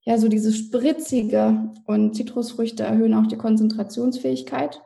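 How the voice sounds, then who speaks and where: A woman's voice: on the high side, steady tone, normal speed, gravelly, mellow. A woman in her thirties from Berlin, a guest chatting at length in an interview. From Berlin, Germany. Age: 30s. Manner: chatty, explains at length with fillers. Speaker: podcast guest, co-founder. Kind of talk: casual interview talk.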